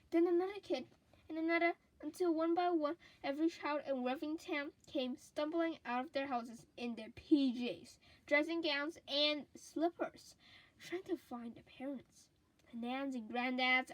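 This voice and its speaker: A woman reading a children's story aloud with a deliberate, expressive delivery. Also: high-pitched, dry recording, low-quality recording.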